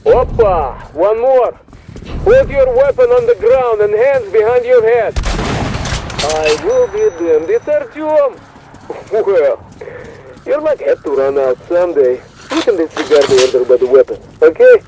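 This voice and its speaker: An actor playing a brash, excitable soldier in a video game, loud and emphatic, with a Russian accent. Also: male voice, high voice, unhurried, exaggerated voice. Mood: excited, commanding. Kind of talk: video game cutscene acting. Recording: loud battle noise, gunfire.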